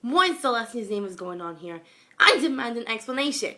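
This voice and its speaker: A woman's voice: a little high, sing-song, fairly quick, bright, forceful tone, voice pushed up. A young woman voicing a proper, emphatic female alicorn pony in a story reading. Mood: indignant.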